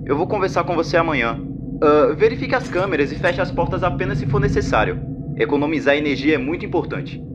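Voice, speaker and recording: A man's voice: on the high side, expressive, quick pace, muffled recording, very noisy, close to an everyday voice. A Brazilian man acting as a nervous, reassuring former night guard leaving a rambling, hesitant training message in a fan dub. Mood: casual, reassuring. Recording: music bed under the voice.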